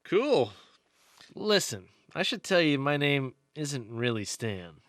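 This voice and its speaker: A man playing an excited, nerdy investigator in a radio play, explaining theories earnestly with halting stammers. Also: on the high side, no echo, clean studio sound, exaggerated voice. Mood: relaxed, friendly.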